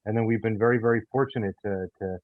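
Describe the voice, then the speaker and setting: A man talking in an interview as the creator of an audio theater show, in a halting way that often repeats words and phrases. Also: lowish voice, fairly even intonation, no echo. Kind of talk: conversational interview talk. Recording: thin phone-like sound.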